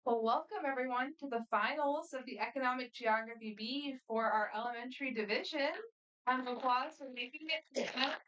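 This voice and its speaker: A woman moderating a student quiz competition, reading questions aloud in a brisk, friendly, matter-of-fact way. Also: sits high, a bit bright, highs cut off. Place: competition room. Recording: voice picked up from a distance.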